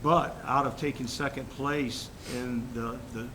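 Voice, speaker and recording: A man with a head cold giving a formal superintendent's report at a school board meeting, halting with fillers and restarts. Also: fairly even intonation. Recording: noisy room recording with rumble.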